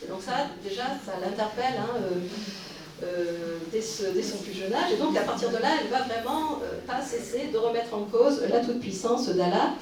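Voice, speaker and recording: A woman's voice: a little high, moderate pace, recorded from a distance, crowd noise. A woman giving a public lecture, speaking hesitantly with many fillers and restarts. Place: conference hall. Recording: roomy hall recorded from afar.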